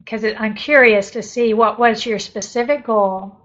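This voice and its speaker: An older woman leads an online coaching group from her home office, upbeat and encouraging. Her voice sits fairly high, and she talks into a laptop mic on a video call. The audio is dull and lo-fi. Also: steady tone, normal speed, a bit bright. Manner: upbeat, encouraging, laughs often. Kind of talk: live webinar coaching, reading chat aloud.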